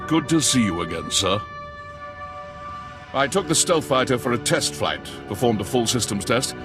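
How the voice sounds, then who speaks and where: An actor playing a loyal, resolute general and pilot in a video game, with crisp military formality. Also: male voice, mid-range voice, expressive, moderate pace, over music, natural voice.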